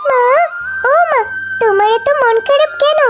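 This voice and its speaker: A woman's voice: extremely high pitch, unhurried, loud music behind the voice. A woman voicing an eager, clever young fox daughter with a chirpy childlike delivery in a children's cartoon. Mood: eager, affectionate.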